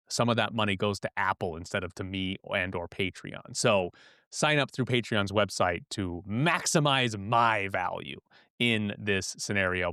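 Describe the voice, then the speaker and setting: A man hosting a sports podcast, talking in a relaxed, conversational way and plugging his show directly. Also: fairly low pitch, clear, resonant tone, no echo, clean studio sound. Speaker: podcast host. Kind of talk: casual sports podcast chat.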